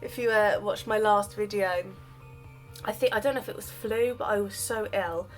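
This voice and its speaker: A woman's voice: mid-range voice, moderate pace, soft background music. A woman chatting casually while she demonstrates styling her wavy hair, her voice just recovered from illness. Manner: chatty, self-deprecating, explains while doing. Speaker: hair vlogger. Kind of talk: casual hair tutorial chat.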